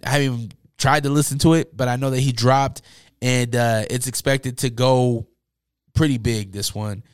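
A man's voice: mid-range voice, smooth, dry recording, clean studio sound. A man hosting a casual music podcast, talking in an informal, slangy, enthusiastic way, with his voice worn from a long day of talking. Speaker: podcast host. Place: indoors, upstairs room.

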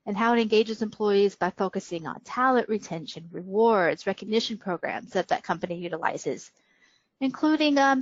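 A woman's voice: medium pitch, dry recording, dull audio. A woman speaking as a senior manager and podcast guest, explaining business matters in an interview.

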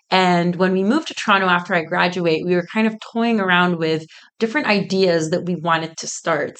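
Female interview guest around thirty, slightly low voice, informal, conversational, from Egypt. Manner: informal, peppered with "like" and quoted asides. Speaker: interview guest, business founder. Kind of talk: casual conversational storytelling.

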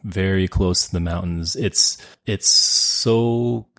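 A man, his Cantonese. His voice sits very low and has a gravelly fry. He chats loosely as a guest, repeating words and padding with fillers. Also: slow pace, fairly bright tone. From Canada. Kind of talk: casual interview chat.

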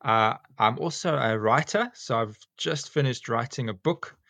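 Gravelly South African man in his forties. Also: medium pitch, unhurried, fairly bright tone, no room sound. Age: 40s. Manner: rambling, many ums and restarts. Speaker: guest, school teacher and snake catcher. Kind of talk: casual podcast interview.

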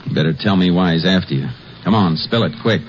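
An actor playing a steady, commanding Texas Ranger in a 1950s western radio drama, talking in a terse, folksy, authoritative way. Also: male voice, sits low, fast, a little hiss or hum, lightly acted. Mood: firm, calming. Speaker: lead actor and narrator. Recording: dull old radio recording with music.

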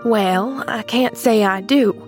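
A woman's voice: fairly high pitch, slow, faint music behind. A woman voicing a terse, plain-spoken household servant in a storybook, with a sour, folksy, grumbling manner. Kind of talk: storybook character voice.

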